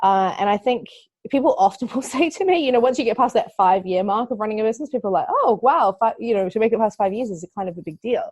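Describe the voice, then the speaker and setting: A woman's voice: fairly high pitch, expressive, quick pace, darkish tone, husky, dry recording. A woman from New Zealand with a New Zealand accent, a marketing agency owner talking earnestly as a podcast guest and thinking aloud with fillers. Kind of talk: podcast interview.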